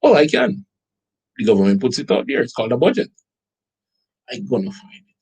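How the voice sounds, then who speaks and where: Jamaican male host, husky, reads documents aloud with incredulous asides. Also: mid-range voice, brisk, low-quality recording. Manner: animated, incredulous, rhetorical questions to viewers. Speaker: online show host. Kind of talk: commentary reading out a document.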